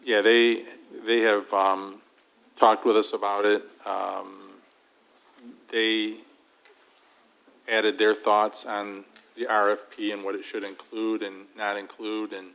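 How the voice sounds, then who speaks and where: Township treasurer, man, low and level voice, plain explanatory delivery. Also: level tone, slow, creaky, a bit bright, poor audio. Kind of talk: explaining a proposal at a meeting. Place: township board meeting room.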